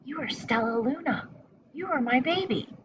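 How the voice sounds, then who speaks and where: A woman's voice: high-pitched, expressive, moderate pace, far from the mic, lo-fi sound, background noise, voice pushed up. A woman voicing a tender, reassuring mother bat in a storybook. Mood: amazed.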